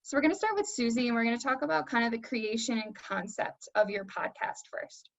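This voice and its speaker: A woman with a fairly high voice moderates a panel in a casual presenting style. The sound is a bit dull and lo-fi, as from an online meeting. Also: expressive, a little fast, gravelly. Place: video call.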